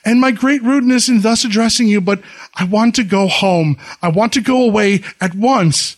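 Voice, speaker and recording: A terrified young bride begs to be let go in a trembling, tearful voice, as a male narrator puts her on. The voice is pitched far above his own and sounds close to tears. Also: normal speed. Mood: pleading.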